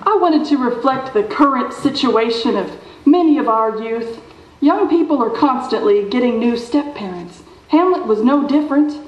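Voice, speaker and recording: A woman's voice: high-pitched, animated, moderate pace. A woman playing a breezy, condescending small-town theater director in a radio show performed on stage. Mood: self-assured. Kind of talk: old-time radio show acting on stage. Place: theater stage before an audience. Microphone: stand microphone, camera at a distance. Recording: dull, echoey live stage recording.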